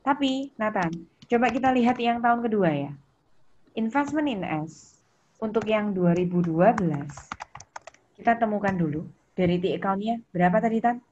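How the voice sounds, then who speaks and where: A woman's voice: mid-range voice, animated, normal speed, darkish tone. An Indonesian woman teaching an accounting lesson, questioning students, repeating key points and prompting them. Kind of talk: interactive online lesson, questioning students by name. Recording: muffled lo-fi audio with background noise.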